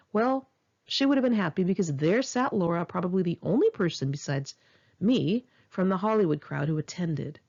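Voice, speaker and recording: A woman's voice: mid-range voice, darkish tone, lo-fi sound. A woman reads her own first-person memoir in a personal, conversational storytelling way. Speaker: author reading own memoir. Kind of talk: first-person memoir narration, audiobook read in one take.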